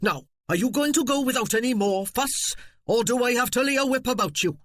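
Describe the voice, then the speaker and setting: A man's voice: extremely high pitch, lively intonation, fairly quick, a bit bright, no echo, pitched above the performer's own voice. A man voicing a pompous, blustering male cousin who turns fawning.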